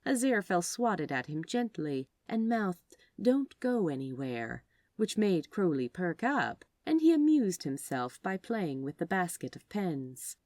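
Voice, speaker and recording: A woman reads fiction aloud as narrator. Her voice is low and moves through animated rises and falls. The sound is dry and free of room echo. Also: measured pace, few pauses. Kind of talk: expressive scripted fiction narration.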